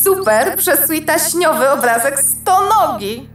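A tiny, chatty male computer creature in a children's radio play. The voice is highish and slow, proud and playful, set a little away in an echoing space. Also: animated, fairly bright tone, faint music behind, heavily acted. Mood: cheerful. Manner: boastful, playful, emphatic. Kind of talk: children's audio drama. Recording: roomy echo, distant.

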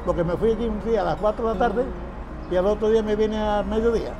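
A man's voice: high voice, steady tone, fast talker, highs cut off. An older man, a former patient, answering interview questions in plain, repetitive speech with frequent chuckles, outdoors on a patio. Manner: plain-spoken, repeats phrases, chuckles often. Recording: music bed underneath. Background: background music.

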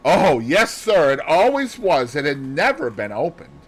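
A man's voice: on the high side, sing-song, a little slow, slightly rough, voice pushed up, exaggerated voice. A man voicing a nervous, deferential housemaid with a hesitant manner, as dialogue in an audiobook. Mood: deferential, unsure.

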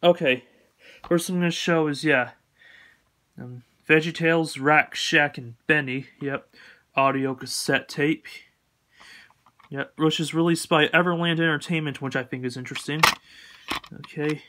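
A man giving a casual, unscripted collection update in a halting, repetitive, matter-of-fact way. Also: a little high, fairly even intonation, pushed and bright. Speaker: collector showing purchases.

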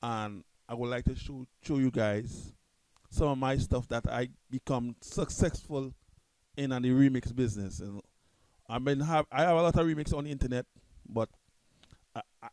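A man with a Trinidadian accent, talking loosely through a music software tutorial at his computer, repeating himself and restarting often. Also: mid-range voice, moderate pace, dry recording, low-quality recording. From Trinidad and Tobago. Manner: loose, repetitive, restarts a lot. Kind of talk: casual screen-recorded software tutorial.

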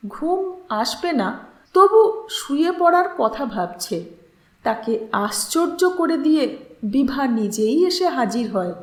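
Female audiobook narrator, very animated intonation, unhurried delivery, literary reading. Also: high voice. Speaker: channel host and story narrator. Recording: roomy, echoing voice recording.

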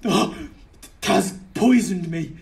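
A man playing a lecherous old duke in a Jacobean verse audio drama, speaking with slow, theatrical relish. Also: high voice, creaky, a little mellow, a little hiss or hum, exaggerated voice. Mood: agonised, horrified.

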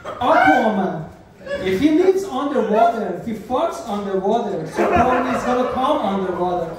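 High-voiced Persian-accented man in an echoey club. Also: moderate pace, a crowd in the background. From Iran. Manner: animated, slightly broken English phrasing. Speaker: stand-up comedian. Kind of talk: stand-up comedy set. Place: small comedy club stage, indoors. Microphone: handheld mic, heard from a far camera. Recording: echoey club sound from far off.

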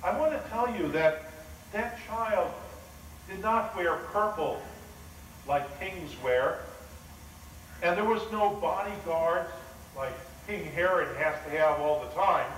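Awed shepherd, slow and wondering, played by a man, in an echoing church, heard from afar. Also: sits high, fairly bright tone, slight background noise. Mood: awed storytelling. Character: humble shepherd recounting the angels' visit. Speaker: pastor. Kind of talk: first-person dramatic sermon monologue. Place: large church sanctuary. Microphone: far video camera. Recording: roomy echoing church, distant camera.

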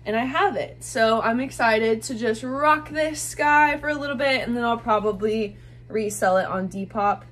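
A woman's voice: fairly high pitch, moderate pace, fairly bright tone. Chatty young woman gushing over finds. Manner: chatty, gushing, many "like" fillers. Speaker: fashion vlogger. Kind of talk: casual thrift haul talk to camera. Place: small bedroom with bed, indoors.

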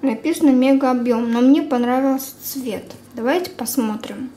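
A young woman chatting casually through a product review as a beauty vlogger, informal and unhurried, thinking aloud. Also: high-pitched, dark tone. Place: indoors at a table at home. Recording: clear background room noise.

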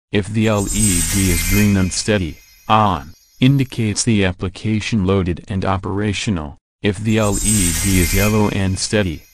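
A man narrating a scripted technical product overview, reading specifications in a flat, list-like way. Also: lowish voice. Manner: flat list-like delivery of specs. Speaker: channel narrator.

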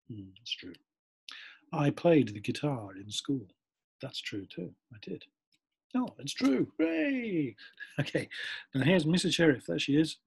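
A middle-aged man from England, giving a cheerful, expressive online lesson from a home room over a webcam. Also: on the high side, gravelly, breathy edge. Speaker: English teacher. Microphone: webcam on a computer.

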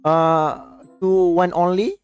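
A man's voice: high voice, level tone, takes it slowly, smooth, highs cut off, soft background music. A young man from Malaysia with a Malaysian English accent, chatting on a video call in hesitant, broken English from his bedroom through a headset mic. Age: young adult. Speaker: streamer. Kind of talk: casual video chat with a stranger. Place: bedroom with a gaming chair.

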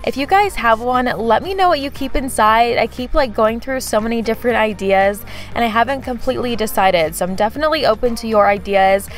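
A woman's voice: a little high, brisk, faint music behind. A woman vlogger chatting casually in a voice-over about her kitchen, with frequent "like" fillers. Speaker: home vlogger.

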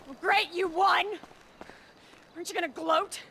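A woman's voice: very high-pitched, steady tone, unhurried, bright, forceful tone, a little hiss or hum, exaggerated voice. An actress playing an angry, insecure, combative fitness fanatic woman in her thirties in a video game cutscene, swearing and neurotic. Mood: bitter, frantic. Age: 30s.

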